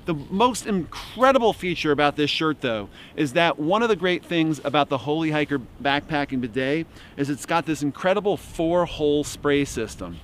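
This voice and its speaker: Man, 50s, slightly high voice, dry mock-salesman humor, deadpan comic pitch, outdoor woods. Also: normal speed, slight background noise. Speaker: outdoor gear vlogger. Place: outdoors in bare winter woods.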